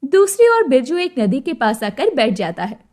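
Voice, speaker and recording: A woman's voice: high-pitched, big pitch swings, normal speed, darkish tone. A woman working as a story narrator, reading a scripted moral tale.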